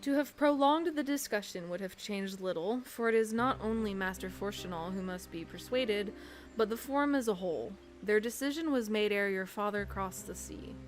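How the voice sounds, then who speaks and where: A woman voicing a formal, earnest young fantasy scholar, reading game dialogue in a measured way. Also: mid-range voice, soft background music, natural voice. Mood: grave resignation.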